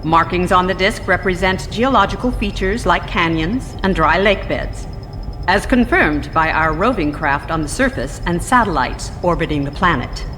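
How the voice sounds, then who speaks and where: A woman playing a composed, skeptical astronomy professor in a radio drama, speaking in a measured, precise, formal way. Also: on the low side, animated, a bit bright, soft background music. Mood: measured, observant. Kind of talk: radio drama interview acting. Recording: long hall reverb, faint noise.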